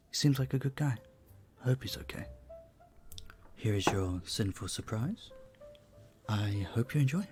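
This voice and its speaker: A man playing a charming, polite vampire bartender in an intimate audio roleplay, soft-spoken and slightly formal. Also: lowish voice, vocal fry. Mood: confiding, sympathetic, then flirtatious, modest. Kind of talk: audio roleplay, intimate one-sided dialogue.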